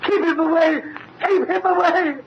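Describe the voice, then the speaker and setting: Very high-pitched, agitated guilty man. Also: fairly even intonation, normal speed, gravelly. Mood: terrified. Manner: agitated, stammering. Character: guilty murderer, indignant, then terrified and breaking down. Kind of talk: 1930s radio horror acting. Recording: muffled old radio recording with hiss.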